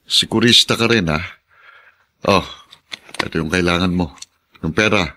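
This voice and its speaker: A man acting a small-time drug pusher and pedicab-driver father in a Filipino radio drama, rough-voiced and husky. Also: deep voice, normal speed, sharp tone. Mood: wary, sly.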